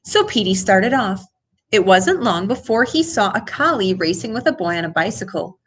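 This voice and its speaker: A woman reading a children's picture book aloud in an expressive way, with dramatic emphasis. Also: medium pitch, moderate pace. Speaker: storybook reader. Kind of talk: animated children's read-aloud.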